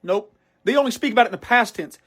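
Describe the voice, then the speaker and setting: High-pitched Southern man, emphatic and chatty. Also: quick pace, dry recording, studio quality. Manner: animated, emphatic, repeats phrases for effect. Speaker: host of a pop culture video show. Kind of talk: casual list commentary to viewers.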